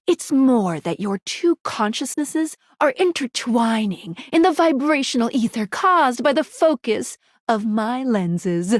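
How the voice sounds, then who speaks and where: A woman's voice: on the high side, dry recording, studio quality. An actress voicing a quirky, flirty, talkative female pair of magical glasses in a video game, playfully explaining things. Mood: teasing, proud. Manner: chatty, playful, eagerly explaining. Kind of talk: video game character dialogue.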